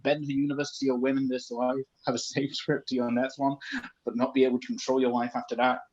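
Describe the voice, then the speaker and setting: A man's voice: mid-range voice, flat delivery, fast, a little mellow, lo-fi sound. A man doing a live comedic read-aloud of a story, jokey and apt to break off into asides. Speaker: comedy performer reading fan fiction.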